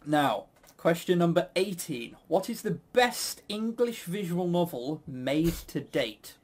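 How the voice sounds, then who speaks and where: A man's voice: fairly high pitch, very animated, takes it slowly. A man hosting a quiz in a casual, jokey, teasing way. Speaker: quiz host.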